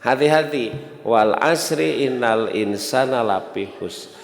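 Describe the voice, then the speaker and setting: Sundanese guy giving a sermon, unhurried, keeps repeating words and asking questions, lots of echo. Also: medium pitch, vocal fry. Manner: didactic, repeats key words, asks rhetorical questions. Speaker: Islamic preacher. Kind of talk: sermon to a congregation, conversational teaching. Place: mosque hall. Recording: echoey hall with long reverb.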